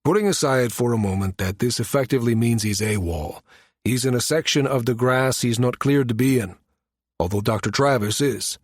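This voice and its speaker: A man voicing a firm male space station security chief in an audiobook, measured and matter-of-fact. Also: mid-range voice, dry recording, studio quality, close to an everyday voice. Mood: firm, explanatory. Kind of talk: audiobook character dialogue.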